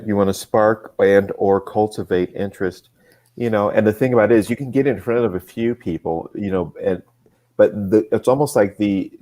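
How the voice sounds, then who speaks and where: A man speaking as a guest on a casual live show, thinking aloud with many restarts and bursts of faster talk. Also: deep voice. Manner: thoughtful and rambling, many restarts, speeds up when excited. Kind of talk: casual livestream chat.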